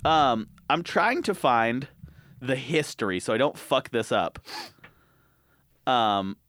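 A man co-hosting a podcast in a relaxed, joking way, cursing casually and laughing along. His voice sits in a middle register, recorded close and dry. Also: mid-range voice, takes it slowly, no echo. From Montana, US. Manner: laid-back, swears freely, laughs often. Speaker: podcast co-host.

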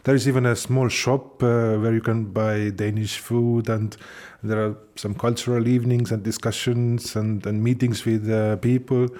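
A man hosting the episode with a mellow, warm tone. He narrates and asks his interview questions in a measured, gentle way. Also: on the low side, little pitch movement. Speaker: podcast host and interviewer.

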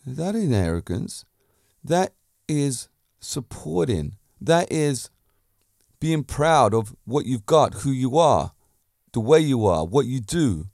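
A man's voice: mid-range voice, very animated, slow pace, gravelly, a little mellow, no room sound, clean studio sound. A man hosting a podcast, giving a self-assured motivational monologue full of emphatic points and rhetorical questions. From Britain. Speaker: podcast host.

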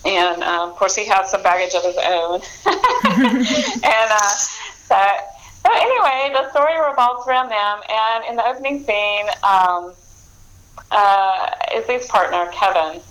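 A woman's voice: mid-range voice, measured pace, fairly bright tone, slight background noise, poor audio. A woman author talking casually about her books in an interview, rambling with fillers and frequent laughs. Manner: rambling, lots of ums and restarts, laughs often. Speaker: author guest.

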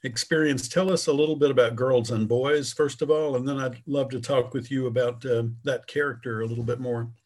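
A man hosting an interview, asking polite questions haltingly with many fillers. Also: mid-range voice, fairly even intonation, darkish tone. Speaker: interview host.

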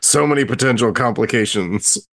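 A man's voice: medium pitch, moderate pace, gravelly, fairly bright tone, dry recording. A man chatting casually as a player in a tabletop roleplaying game.

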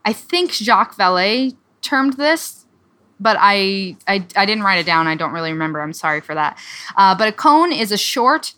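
A woman's voice: mid-range voice, a bit bright. A young woman co-hosting a podcast, chatting casually as she summarizes a book, with lots of fillers and restarts. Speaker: podcast co-host.

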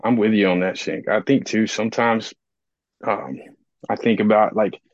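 A man with a cold, speaking in a raspy, hoarse voice, telling his story reflectively on a casual podcast, with many fillers. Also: lowish voice, steady tone, normal speed, dry recording, highs cut off. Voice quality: raspy, gravelly. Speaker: podcast co-host. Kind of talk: casual recovery podcast talk.

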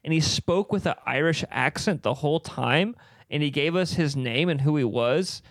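A man's voice: fairly high pitch, normal speed, no echo. A young man co-hosting a casual podcast, telling stories with lots of fillers and frequent laughs. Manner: rambling storyteller, laughs often, lots of fillers. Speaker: podcast co-host. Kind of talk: casual chat.

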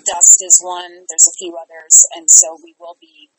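Low-pitched woman talking in an interview. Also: completely flat delivery, normal speed, noisy background. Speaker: guest, theater company artistic director. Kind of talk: conversational interview, talking about own projects.

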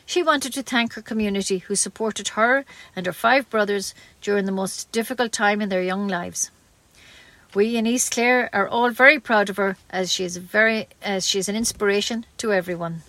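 An Irish woman reading scripted local community radio news in a measured, careful read-aloud manner. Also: mid-range voice, fairly bright tone, no room sound.

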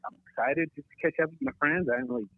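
A man chatting casually as a guest on a talk show, easygoing and halting in the way he explains things. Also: fairly high pitch, narrow, tinny audio, crowd noise. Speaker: guest correcting pronunciation and commenting on cigars. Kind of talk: casual chat.

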